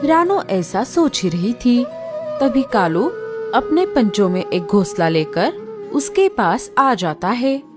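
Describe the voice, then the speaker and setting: A woman narrating a children's cartoon story in an expressive storytelling style. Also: fairly high pitch, slow, over music.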